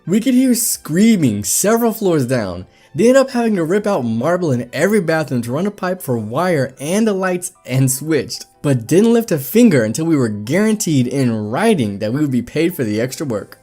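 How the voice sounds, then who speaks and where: A man reading stories aloud and chatting between them in a lively, animated way. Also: a little high, moderate pace, few pauses, faint music behind. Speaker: Reddit story narrator.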